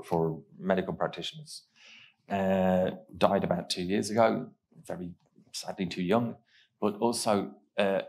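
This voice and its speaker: Deep-voiced man hosting a panel. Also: low-quality recording. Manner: musing, with fillers. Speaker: host. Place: conference stage in a hall. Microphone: headset mic.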